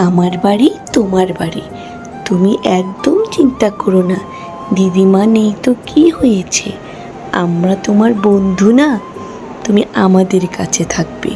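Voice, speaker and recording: A woman's voice: medium pitch, lightly acted. A woman playing a caring, loyal village neighbour in a cartoon, speaking in an earnest, reassuring way.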